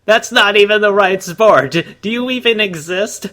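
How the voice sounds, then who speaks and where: A man's voice: high-pitched, moderate pace, sharp tone. A man acting as co-host and heckler, casually riffing with sarcastic, exasperated interjections.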